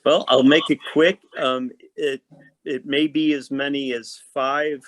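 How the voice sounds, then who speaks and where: A man's voice: mid-range voice, little pitch movement, measured pace, no echo, poor audio. Talkative man in a dry, close recording. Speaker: actor, podcast guest. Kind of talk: casual conversation about work.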